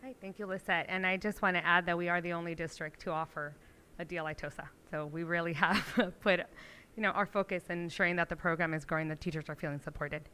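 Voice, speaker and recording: A middle-aged woman presenting a school language program to a board in a meeting room, explaining in a measured, emphatic way. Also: lowish voice, steady tone, bright, forceful tone, clear, resonant tone.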